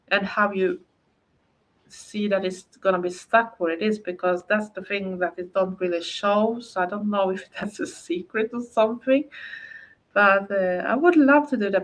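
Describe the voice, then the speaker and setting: A woman's voice: fairly low pitch, poor audio. A woman from Sweden with a Swedish accent, chatting casually on a livestream, speaking English as a second language and rambling with frequent hesitations. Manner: rambling, hesitant, many fillers and restarts. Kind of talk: casual livestream chat, answering viewer comments.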